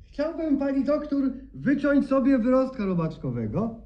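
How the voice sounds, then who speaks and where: A man's voice: very high-pitched, very animated, normal speed, muffled recording. An actor playing a meek, plaintive male patient with quaintly formal speech in a satirical radio sketch. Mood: timid, polite.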